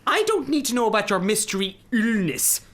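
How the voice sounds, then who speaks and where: A male Irish shop boss in a radio drama. He is patronising and overbearing, lecturing with swooping, lively intonation. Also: high voice, fairly quick. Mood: patronising, stern. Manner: condescending, lecturing.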